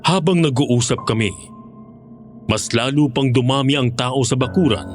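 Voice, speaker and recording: A man's voice: mid-range voice, moderate pace, lightly acted. A man with a Filipino accent narrating as a former hunter recounting a horror story. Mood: ominous, measured. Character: former male aswang hunter recounting his experience. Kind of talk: scripted horror story narration in first person. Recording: music bed under the narration.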